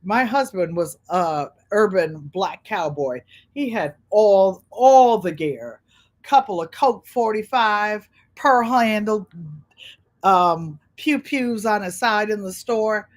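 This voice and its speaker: A woman's voice: medium pitch, animated, slow. A middle-aged woman from Connecticut with a Connecticut accent, a quilting channel host who chats frankly and rambles while sewing. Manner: rambling, frank, talks to viewers by name. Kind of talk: chatty livestream talk while sewing.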